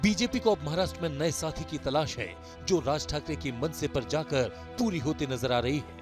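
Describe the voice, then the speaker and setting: A man narrating a scripted, dramatic news report as a voice-over. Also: fairly high pitch. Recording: voice-over laid over loud music. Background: music.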